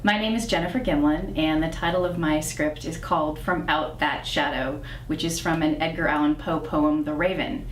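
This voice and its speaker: A woman screenwriter giving a prepared pitch of a script on a theater stage. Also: steady tone, normal speed, few pauses. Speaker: screenwriter presenting their script. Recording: echoey theater, distant mic, faint noise.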